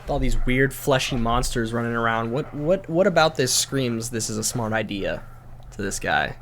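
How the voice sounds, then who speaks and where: A man's voice: mid-range voice, lively intonation, normal speed, a bit bright, slight background noise. A man hosting a reaction video, giving casual, slangy and skeptical commentary.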